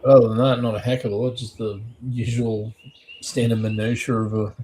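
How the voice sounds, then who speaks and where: A man chatting casually on a livestream, playful and quick to joke. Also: medium pitch, flat delivery, dark tone, a little hiss or hum, low-quality recording. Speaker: guest.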